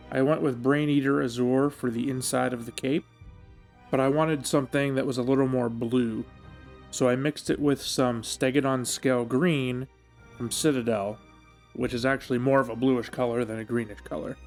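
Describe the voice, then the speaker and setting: A man giving a measured, explanatory voice-over as the host of a hobby tutorial. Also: mid-range voice, darkish tone. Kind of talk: instructional voice-over narration. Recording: music behind the voice. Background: background music.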